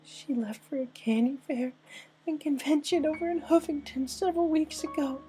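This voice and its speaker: A woman's voice: sits high, moderate pace, dark tone, soft background music, natural voice. A woman voicing a grieving, anxious young female pony in a story, with a shaky, tearful, hesitant voice. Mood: distraught. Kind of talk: dramatic fan-fiction story reading.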